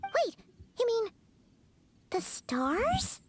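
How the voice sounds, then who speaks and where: A woman voicing a small, excitable, childlike female fairy companion in a video game, with exclamatory, squeaky delivery. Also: extremely slow, a little hiss or hum, exaggerated voice. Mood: puzzled. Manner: refers to self by name, exclamatory, squeaky. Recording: background music under the voice.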